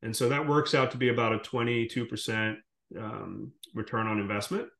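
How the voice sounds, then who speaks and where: A man's voice: medium pitch, steady tone, a little fast, creaky, a bit bright. Man from the Caribbean, echoey room sound. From Caribbean, born in Guyana and raised in Jamaica. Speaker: podcast guest, short-term rental investor. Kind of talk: conversational business interview. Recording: roomy, echoing sound.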